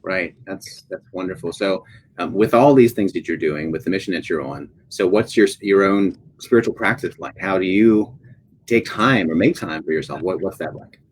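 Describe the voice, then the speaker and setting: Male interview moderator, deep register, casual and tentative with repeated words, faint ambient noise behind. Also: moderate pace, poor audio. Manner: tentative phrasing, repeats words as he gropes for a point. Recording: noticeable background noise.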